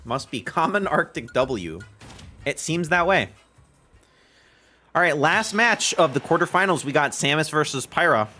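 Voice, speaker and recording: A young man hosting a game stream, giving lively, excitable play-by-play and laughing often with his co-host. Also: fairly high pitch, unhurried, long pauses, soft background music. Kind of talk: casual game commentary.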